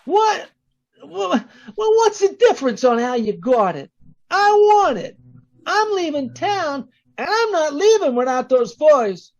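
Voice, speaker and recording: A suspicious, taunting lady crook from a 1940s radio melodrama, acted by a woman. Her very high voice swings widely as she makes her demands at a slow pace. The recording is a bit muffled. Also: sing-song, a little mellow, full character voice. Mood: taunting, demanding. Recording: dull lo-fi video-call audio.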